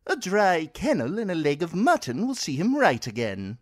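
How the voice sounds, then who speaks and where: A man voicing a cheerful young lord who speaks in a bright, friendly way. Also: on the high side, huge pitch swings, normal speed, no echo, clean studio sound, pitched above the performer's own voice.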